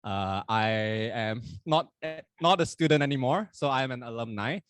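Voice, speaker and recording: A young man in his twenties, not from Hong Kong, co-hosting a podcast at a live university event. He talks in a relaxed, chatty way, often correcting himself mid-sentence. His voice sits mid-range and is recorded dry and close. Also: medium pitch, normal speed, clean tone, dry recording. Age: 20s. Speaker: podcast co-host. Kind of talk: casual chat at a live event.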